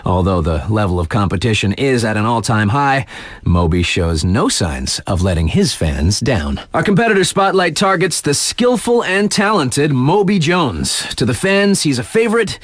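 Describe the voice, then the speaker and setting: An actor playing a laid-back, hip radio DJ in a video game, covering snowboard competitions with breezy, slangy commentary and chatty, hesitant asides. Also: male voice, mid-range voice, animated, moderate pace, dry recording, natural voice. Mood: upbeat, hyping. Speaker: radio DJ announcer. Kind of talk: scripted radio sports commentary with casual ad-libbed asides.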